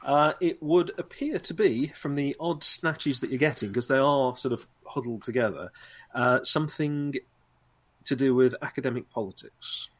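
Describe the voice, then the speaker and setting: A man's voice: medium pitch, normal speed, slightly rough. A man, narrating as game master, hesitant and full of ums and you-knows.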